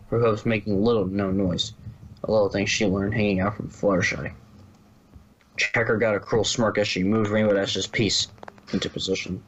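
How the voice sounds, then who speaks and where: A man's voice: fairly low pitch, a bit bright, a little hiss or hum, low-quality recording. A man reading scripted story narration, now and then stumbling and rereading a phrase.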